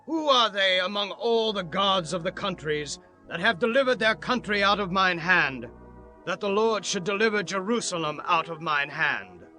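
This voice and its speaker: An actor playing a boastful, threatening Assyrian royal envoy in a dramatized scripture audiobook, declaiming tauntingly over music. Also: male voice, sits high, animated, normal speed, pushed and bright, heavily acted. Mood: proud, menacing. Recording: music under the voice.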